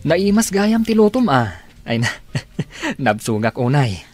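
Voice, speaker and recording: A man's voice: on the high side, big pitch swings, unhurried, darkish tone, soft background music. An Ilocano Filipino man playing a lovelorn, good-natured young village suitor in a radio drama. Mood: friendly.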